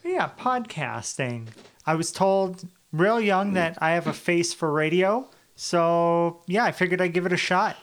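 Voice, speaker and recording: A man's voice: high voice, expressive, measured pace, fairly bright tone. A man hosting a casual podcast chat, joking and self-deprecating. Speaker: podcast host.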